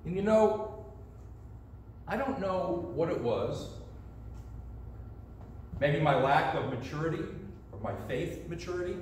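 Animated preacher in an echoey sanctuary. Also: male voice, on the high side, unhurried, long pauses, slight background noise. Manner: animated storyteller, gestures with both hands. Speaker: pastor. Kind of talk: storytelling sermon. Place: church sanctuary, indoors. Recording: distant echoey sanctuary sound.

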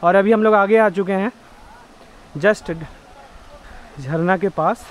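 A man's voice: sits high, slow pace, slight background noise, poor audio. A man talking casually to his viewers as a travel vlogger, chatty and calling them guys, filming outdoors by a waterfall. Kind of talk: casual vlog talk to viewers. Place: outdoors on a hill path by a waterfall.

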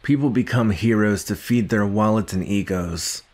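A young man playing a gruff, guarded but secretly caring villain in an ASMR roleplay, speaking haltingly with sighs and grudging tenderness. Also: lowish voice, a little mellow, lightly acted. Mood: bitter, scornful. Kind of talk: ASMR roleplay addressed to the listener.

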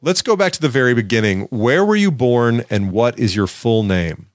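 A man's voice: mid-range voice, lively intonation, normal speed, no echo, studio quality. A man with a Southern US accent speaking as a podcast host, presenting and interviewing. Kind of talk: scripted introduction and interview questions.

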